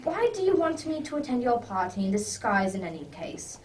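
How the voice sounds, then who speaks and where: A woman plays a hearty gentleman detective in a radio drama, speaking high and with big swings of pitch. The manner is exclamatory and courteous, heard slightly off the mic with some faint noise. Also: sing-song, fairly quick, distant mic. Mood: amused, teasing.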